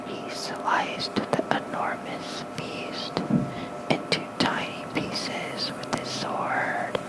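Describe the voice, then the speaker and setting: ASMR host for sleep content: fully whispered, unhurried reading, soft and casual, close on the mic. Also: low-quality recording. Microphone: close fluffy-covered microphone.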